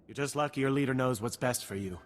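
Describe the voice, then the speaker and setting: A man's voice: mid-range voice, fast talker, in a large hall, slight background noise, natural voice. A man voicing a fearful, agitated frontier militiaman in a video game. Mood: sour.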